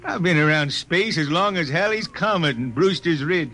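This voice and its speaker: A man's voice: a little high, animated, moderate pace, bright, forceful tone. An actor playing an aging, stubborn, homesick spaceman with folksy rural diction, in 1950s radio drama style. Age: older. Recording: noisy vintage 1950 radio recording.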